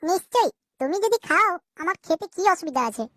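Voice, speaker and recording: A woman's voice: very high-pitched, lively intonation, fairly quick, sharp tone, no echo, clean studio sound, heavily acted. A woman with a West Bengali accent voicing a coy, flirtatious village sister-in-law in a comic cartoon. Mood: coy teasing. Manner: coy, teasing.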